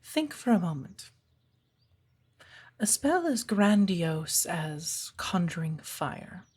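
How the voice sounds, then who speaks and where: A woman playing a stern, patient witch who teaches an apprentice in a soft, breathy ASMR roleplay, speaking in a measured, formal, commanding way. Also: fairly low pitch, big pitch swings, full character voice. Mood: patient teaching. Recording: birdsong behind the voice. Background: birdsong, woodland ambience.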